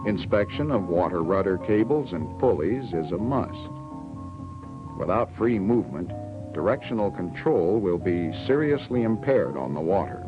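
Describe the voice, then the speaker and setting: A man reading scripted instructional narration for a training film in a measured, explanatory way. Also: low-pitched, expressive, music in the background. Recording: dull 1970s 16mm film soundtrack.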